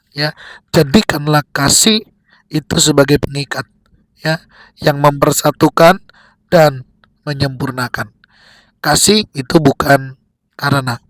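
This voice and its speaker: Male preacher, slightly high pitch, close dry sound. Exhorting devotional delivery in short repeated phrases. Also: fairly bright tone, no room sound, poor audio. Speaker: preacher giving a daily devotional. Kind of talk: devotional sermon with prayer.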